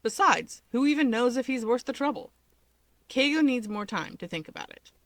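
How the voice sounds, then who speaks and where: A woman's voice: fairly high pitch, lively intonation, normal speed, no room sound. A woman reading a story aloud as scripted narration with character dialogue. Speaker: story reader.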